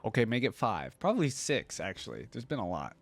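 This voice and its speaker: A man's voice: lowish voice, very animated, a little fast, faint music behind. A man hosting a reaction video, giving casual commentary and thinking aloud as he goes.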